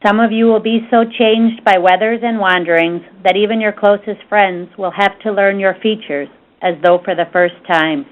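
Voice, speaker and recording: A woman of medium pitch reads a prayer aloud. Her delivery is solemn and measured, and the conference-call audio is dull and lo-fi. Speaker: prayer reader.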